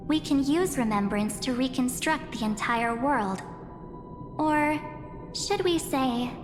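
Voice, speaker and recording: A girlish, otherworldly game character with a high voice and an unhurried delivery. She is coaxing and composed, with a teasing edge, and her words ring out in a long reverb. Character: memory entity posing as a cheerful teenage girl, coolly persuasive. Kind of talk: video game voice acting. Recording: echoey long reverb under clear music.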